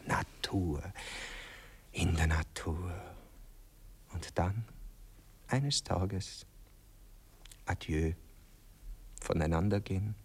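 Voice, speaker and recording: An actor playing a vain, rapturous poet wooing his lover in an Austrian accent, theatrical and breathy, in a 1960s radio play. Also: sits low, drawn-out words, long pauses, a little mellow, exaggerated voice. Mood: sentimental, tender. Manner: theatrical, breathy murmurs, swooning declamation.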